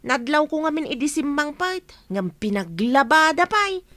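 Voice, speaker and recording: A woman's voice: high voice, lively intonation, moderate pace, fairly bright tone, dry recording. A woman playing a concerned, helpful younger village neighbour in a radio drama.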